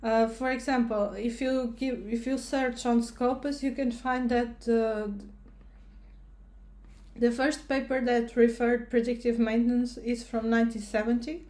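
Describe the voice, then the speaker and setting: A woman's voice: fairly high pitch, level tone, a little slow, mellow. A woman from Portugal, a researcher giving a recorded educational presentation, speaking hesitantly with many fillers and restarts.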